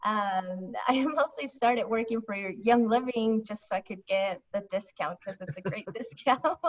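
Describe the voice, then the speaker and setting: A woman's voice: medium pitch, unhurried. A young woman from Ecuador, a foundation staff member, chatting casually as an interview guest over the phone, laughing often and using many fillers. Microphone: phone line. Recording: distant, muffled phone audio.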